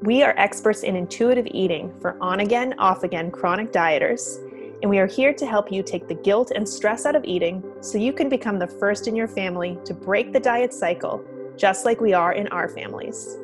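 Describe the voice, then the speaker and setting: A woman's voice: medium pitch, moderate pace, music in the background. Woman, upbeat and eager, self-deprecating, casual podcast co-host. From Boston, USA.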